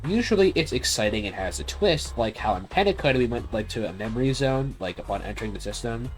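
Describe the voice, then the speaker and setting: Animated man giving blunt game review. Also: mid-range voice, fast talker, faint music behind. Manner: blunt, casual swearing. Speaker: gaming video reviewer. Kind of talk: opinionated video game review commentary.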